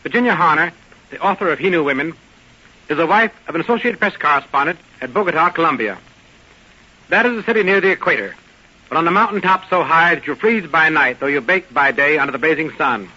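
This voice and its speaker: A man's voice: on the high side, expressive, fast talker, sharp tone, husky, highs cut off. A man giving a prepared, formal and literary introduction as a guest on an old radio broadcast. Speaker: magazine editor, guest speaker.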